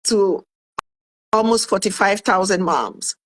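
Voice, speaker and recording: A woman's voice: medium pitch, slow. A woman in her fifties from Cameroon, a guest telling her story in a casual interview and acting out what she said back then. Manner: animated, acts out her own past remarks in quotes. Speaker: guest, author and food entrepreneur.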